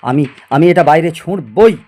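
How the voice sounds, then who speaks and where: A man's voice: fairly high pitch, animated, moderate pace, warm tone, faint music behind. A man acting a proud, obsessive middle-aged scientist in a Bengali audio story, theatrical and prone to stammer when agitated. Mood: defiant, desperate. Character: proud obsessive male scientist, boastful and stubborn.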